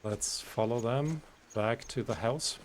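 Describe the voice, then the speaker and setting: A man's voice: medium pitch, slow, a little hiss or hum. A man giving casual, understated let's-play commentary over faint game sounds. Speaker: gaming video host.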